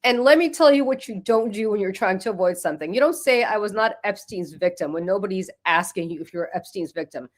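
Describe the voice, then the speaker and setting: A woman co-hosts a casual podcast and talks with emphatic, sarcastic energy, pushing her points hard and mocking freely. Her voice sits at an ordinary mid pitch. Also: lively intonation. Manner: emphatic, sarcastic, quick to mock. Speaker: podcast co-host. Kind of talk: casual chat.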